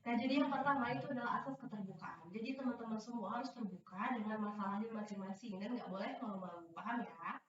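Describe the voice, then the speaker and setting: A woman's voice: medium pitch, fast, crowd noise. A young woman leading a group counseling session in a teacherly way, with many hesitant fillers and frequent checks that everyone understands. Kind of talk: classroom-style instruction. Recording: echoey room, distant dull mic, faint noise.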